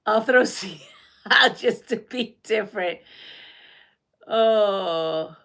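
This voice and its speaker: Slow-talking woman host. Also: medium pitch, a bit bright. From Puerto Rico. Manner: chatty, laughs often, reads out comments and quiz questions. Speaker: quilting channel host. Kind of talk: casual live-stream chat with viewers.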